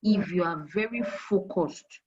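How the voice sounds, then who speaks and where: A woman's voice: on the low side, a little slow, darkish tone, slightly rough, dull audio. Emphatic Nigerian woman giving career advice. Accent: Nigerian English. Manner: emphatic, repeats key points, asks rhetorical questions. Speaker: HR manager guest.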